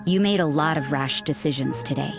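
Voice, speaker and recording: A woman plays a stern young church sister in a game who handles her checks with cool, dry authority. Her voice sits low for a woman, and music plays behind her. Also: fairly quick, creaky. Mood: warning. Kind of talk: fan dub of a game cutscene.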